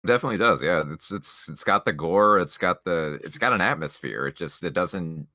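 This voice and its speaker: A man with a low, bright voice talks through films as a podcast co-host. He is opinionated and likes to explain his point, and the recording is close and dry. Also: animated, moderate pace, no room sound. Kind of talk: casual film discussion.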